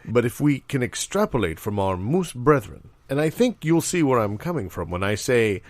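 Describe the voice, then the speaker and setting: A man's voice: medium pitch, sing-song, moderate pace, dry recording, close to an everyday voice. A man playing a pompous, long-winded doctor and minister in an improvised comedy interview, speaking in florid phrases. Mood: lofty, explaining.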